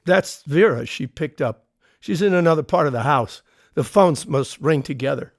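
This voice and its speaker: A plainspoken war veteran, close and dry. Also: male voice, fairly high pitch, animated, moderate pace, dry recording. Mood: matter-of-fact. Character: grown war veteran, curious, uneasy, haunted by captivity. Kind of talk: audio drama acting.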